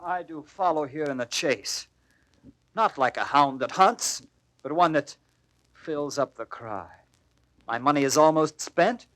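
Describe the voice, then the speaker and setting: An actor playing a foolish, aggrieved young gentleman who complains plaintively in classical Shakespearean stage style. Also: fairly high pitch, measured pace, pauses often, poor audio. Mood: aggrieved complaining.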